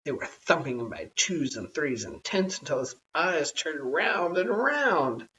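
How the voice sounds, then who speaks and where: An adult reader narrating a bedtime story in an expressive, measured storytelling manner. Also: medium pitch, far from the mic, highs cut off, a little hiss or hum.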